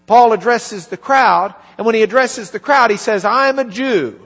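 A man preaching a sermon, retelling a scripture story in a conversational way and quietly acting out the lines of its people. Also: high voice, normal speed, breathy edge. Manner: conversational, acts out dialogue in quiet asides. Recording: reverberant hall with mains hum.

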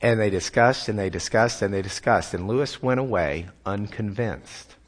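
A man with a Texan accent speaks in a fairly low voice, giving a church talk. His manner is folksy and self-deprecating. He often repeats words as he builds toward a point. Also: normal speed. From Texas. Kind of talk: sermon-style talk with slides.

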